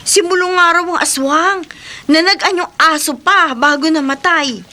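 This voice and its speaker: A woman's voice: extremely high pitch, moderate pace, sharp tone. A woman playing a blunt, gossipy young Filipina friend with emphatic horror radio drama delivery. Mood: spooked, gossipy.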